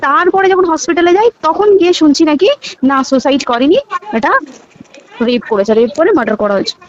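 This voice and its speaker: Fast, high-pitched young Bengali woman, insistent. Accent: Bengali, West Bengal. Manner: emphatic, insistent, repeats words and checks listener follows. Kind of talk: casual phone conversation. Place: phone call. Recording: dull lo-fi recorded phone call.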